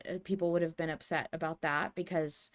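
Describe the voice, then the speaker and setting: A woman's voice: low voice, steady tone, a little fast. A woman co-hosting a podcast in casual discussion, hesitant and self-deprecating as she talks. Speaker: podcast co-host.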